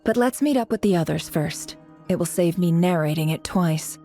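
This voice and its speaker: A woman's voice: fairly low pitch, moderate pace, warm tone, faint music behind, lightly acted. An actress voicing a proud, lazy, sardonic young woman boss in a video game, with an arch and teasing delivery. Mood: wry, bossy. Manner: arch, teasing, self-satisfied. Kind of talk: English video game dub acting.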